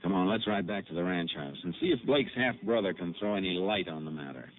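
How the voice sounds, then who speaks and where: An actor playing a calm, methodical male Texas Ranger detective in a 1950s radio drama, asking measured questions. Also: low voice. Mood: steady, probing. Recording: tinny lo-fi old radio broadcast with faint hiss.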